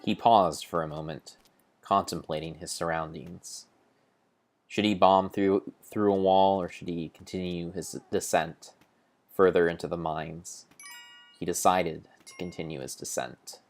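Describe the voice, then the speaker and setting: Deep guy doing a dramatic storybook narration of a game, super grandiose, pauses a lot, fixes his words as he goes. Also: steady tone, faint music behind. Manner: grandiose, theatrical, with pauses and self-corrections. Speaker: narrator of a video game playthrough. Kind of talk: mock-epic dramatic storytelling, improvised.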